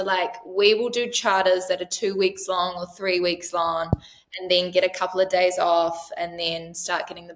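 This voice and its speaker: A woman in her thirties from New Zealand talks through her answers in an easy, chatty way. She leans on "um" and "like" as she goes. Her voice is bright and clear, set at a medium pitch. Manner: chatty, frequent "um" and "like". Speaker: interview guest, yacht crew member. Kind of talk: casual interview answers.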